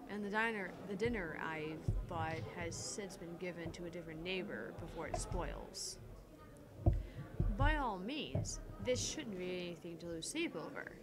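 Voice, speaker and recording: A woman's voice: fairly low pitch, animated, unhurried, over loud music. A woman co-hosting a gaming livestream, chatting casually and reading game text aloud.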